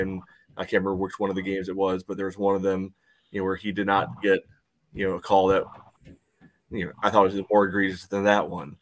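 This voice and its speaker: A man's voice: low voice, fairly bright tone. A man giving casual match analysis as a soccer podcast panelist on a video call, often padding with filler phrases.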